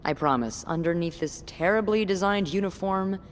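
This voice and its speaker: A woman's voice: lowish voice, moderate pace, slight background noise, close to an everyday voice. An actress voicing a wry, playful, self-assured young woman with a teasing manner in a naturalistic video game scene. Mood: playful, candid.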